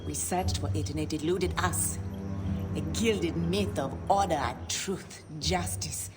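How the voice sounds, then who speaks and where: An actress playing a weary, guilt-ridden shopkeeper who speaks in a measured, guarded way with whispered drops in a game cutscene. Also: female voice, low voice, over loud music.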